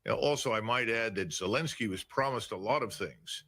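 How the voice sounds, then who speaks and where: A man answering as an interview guest, giving firm, deliberate political analysis. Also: on the low side, bright, forceful tone.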